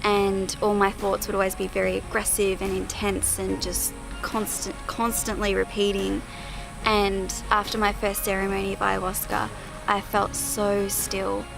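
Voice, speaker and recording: A woman calmly describing her experience in a measured, gentle way. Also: medium pitch, vocal fry, low-quality recording. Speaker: ceremony participant. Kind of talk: personal testimony. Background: music.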